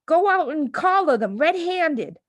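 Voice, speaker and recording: A woman's voice: extremely high pitch, big pitch swings, unhurried, pitched above the performer's own voice, exaggerated voice. A woman voicing a panicky, bossy mother in a storybook, shrieking and hissing dramatically. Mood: urgent, bossy.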